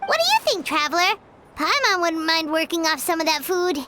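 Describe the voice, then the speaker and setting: A woman's voice: very animated, brisk. A woman voicing a tiny floating female fairy companion who talks about herself in the third person, in a video game. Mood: chirpy. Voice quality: high squeaky voice, speaks of herself in third person. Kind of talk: game voice acting. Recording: gameplay audio with clear background noise.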